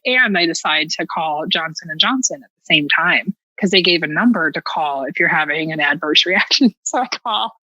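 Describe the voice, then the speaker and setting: A woman telling a personal story as a podcast guest in an animated, casual, self-mockingly dramatic way. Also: lowish voice, no room sound, lo-fi sound.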